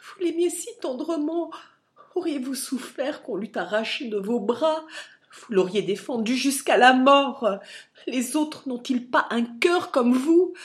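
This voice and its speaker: A woman voicing a young man who pleads in despair with his father, tearful and high-strung in an audiobook reading. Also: a little mellow, lightly acted.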